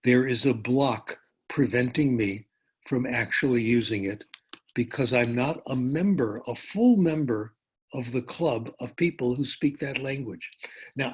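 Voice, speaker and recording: A husky-voiced older man speaks at a middle pitch, giving a conference lecture. He tells relaxed anecdotes, often breaking off for asides and correcting himself as he goes. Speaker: professor giving a conference talk. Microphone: remote talk over a conference call.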